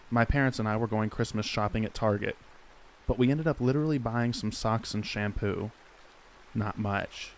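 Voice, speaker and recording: A man hosting a channel and calmly narrating true scary stories for sleep. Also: lowish voice, slight background noise, poor audio. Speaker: host and horror narrator. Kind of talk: calm sleep-story narration.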